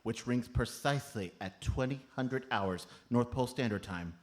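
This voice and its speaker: A man's voice: medium pitch, normal speed, natural voice. A man playing a tiny, solemn elf foreman with a long-winded official manner in a live comedy radio play. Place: live on stage at a comedy club.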